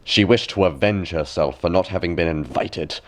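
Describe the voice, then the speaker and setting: A man narrating a bedtime fairy tale as a storyteller, with expressive, measured and deliberate phrasing. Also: sits low. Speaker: storyteller, audiobook reader.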